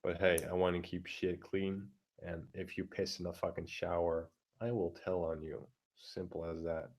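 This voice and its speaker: A man with a very low, deep voice holds his volume down so the neighbors won't hear through the walls. His pitch stays flat and monotone while he rants crudely and bluntly, swearing freely. He stumbles along with lots of fillers and restarts. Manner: keeps his voice down, talking quietly; halting, many fillers and restarts. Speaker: vlogger. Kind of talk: casual profane rant.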